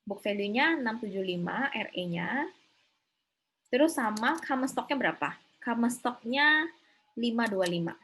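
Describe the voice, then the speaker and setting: Lady teacher doing an online class, bit husky, chatty, keeps asking students little check questions. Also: a little high, expressive, a little slow, long pauses, fairly bright tone. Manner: conversational, often seeking confirmation with short tag questions. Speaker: accounting lecturer. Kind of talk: informal online lecture, thinking aloud with frequent questions to students. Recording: slightly rough online class recording.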